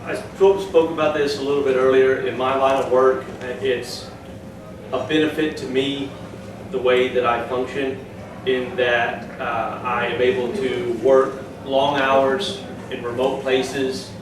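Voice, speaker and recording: Flat-voiced man, far off in echo. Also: medium pitch, measured pace. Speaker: race driver. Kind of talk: presentation to a club. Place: meeting room. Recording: echoey room, distant mic, noisy.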